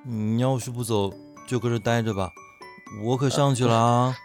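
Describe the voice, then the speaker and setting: A man's voice: medium pitch, fairly even intonation, normal speed, faint music behind, pitched below the performer's own voice, natural voice. A man playing a sulky, naive young man who needles his friend with pointed questions in a live audio drama. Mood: huffy.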